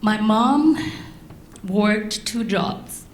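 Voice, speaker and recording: A middle-aged woman from Laos, raised in France, giving a prepared speech to an audience in slow, deliberate phrases. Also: mid-range voice, roomy sound, slight background noise. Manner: slow, deliberate delivery with long pauses. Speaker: guest speaker.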